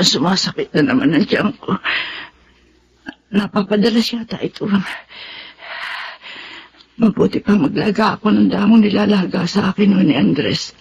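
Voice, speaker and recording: A woman's voice: medium pitch, gravelly, a little mellow, dull audio, a little hiss or hum. A woman with a Filipino accent playing an affectionate, motherly character in a radio drama, speaking expressively. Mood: pained. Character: affectionate, encouraging Filipina mother, often ailing.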